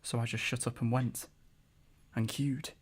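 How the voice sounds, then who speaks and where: A man's voice: medium pitch, little pitch movement, measured pace, husky. A man acting in an audio drama as a nervous, wry character with a dry, anxious delivery. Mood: dry dread. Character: nervous, wry man dreading a fairground ride. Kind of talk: audio drama dialogue and first-person narration. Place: recording studio. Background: music.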